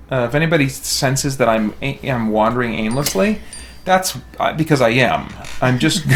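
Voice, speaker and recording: A middle-aged man rambling casually in a halting way, thinking aloud as he hosts a game stream. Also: mid-range voice, a little hiss or hum. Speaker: live stream host. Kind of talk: casual chat while playing a game.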